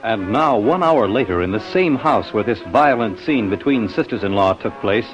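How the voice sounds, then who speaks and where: A man's voice: on the low side, expressive, moderate pace, close to an everyday voice, poor audio. A man narrating a 1950s radio detective drama as its dramatic announcer. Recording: old band-limited radio recording, music behind.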